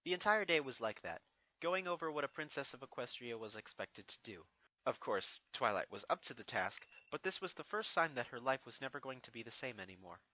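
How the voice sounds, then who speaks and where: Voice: mid-range voice, sing-song, brisk, bright, forceful tone, poor audio. A narrator reading a fan fiction story expressively from a script, with music behind. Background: background music.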